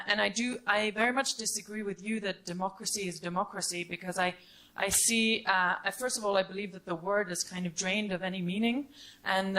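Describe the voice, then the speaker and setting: A woman's voice: on the low side, bright, forceful tone, low-quality recording. A woman in her twenties from Norway, a student audience member giving a candid, unscripted comment and question at a debate.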